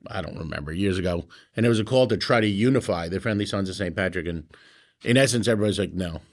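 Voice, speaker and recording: A man's voice: low voice, fast talker. A middle-aged man, a founding club member, telling wry stories in a casual group chat. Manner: wry, storytelling, frequent fillers.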